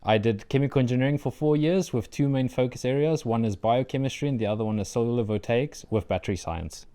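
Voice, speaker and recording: A young man from South Africa with a South African accent, Afrikaans his first language, answering interview questions about his doctoral studies in an earnest, measured way with frequent fillers. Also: mid-range voice, warm tone, smooth. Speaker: PhD candidate, interviewee.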